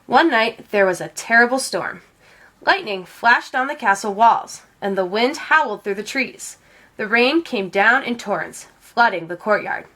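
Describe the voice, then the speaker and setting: A woman reading a children's picture book aloud as an expressive storyteller. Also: on the high side, unhurried. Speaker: read-aloud storyteller, teacher.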